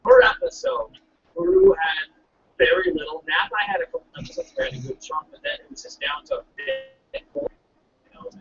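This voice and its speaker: A man's voice: very high-pitched, wildly swooping pitch, a little fast, gravelly. A man hosting a casual podcast chat over a call, laid-back and jokey, swearing casually. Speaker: podcast host. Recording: distant lo-fi call audio with faint hiss.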